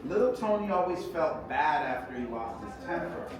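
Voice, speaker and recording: A man reading a children's story aloud in a measured, expressive storytelling manner. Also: fairly high pitch. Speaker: author reading own book aloud. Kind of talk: children's storybook reading with sung hymn passages. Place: echoey indoor event space. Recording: echoey room, distant mic, clear background noise.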